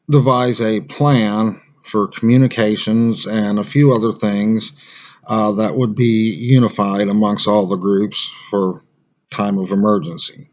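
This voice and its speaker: Male, American, fairly low pitch; plain-spoken survival instructor doing a loose, unscripted gear review. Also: darkish tone, lo-fi sound. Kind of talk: casual unscripted product review.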